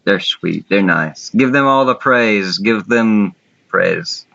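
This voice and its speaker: A man's voice: on the low side, animated, slow pace, vocal fry, pushed and bright. A man co-hosting an online contest in casual, unscripted chat, with a blunt, informal manner that runs to casual swearing. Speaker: online contest co-host and judge. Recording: muffled lo-fi voice recording.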